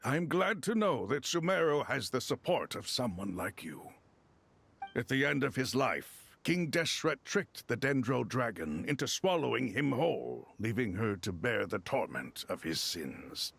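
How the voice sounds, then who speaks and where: A man playing a solemn, learned desert god in a video game, speaking formally in a gravelly, measured way. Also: mid-range voice, big pitch swings, a bit bright, full character voice. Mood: solemn, reflective. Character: solemn, learned male desert god loyal to his king.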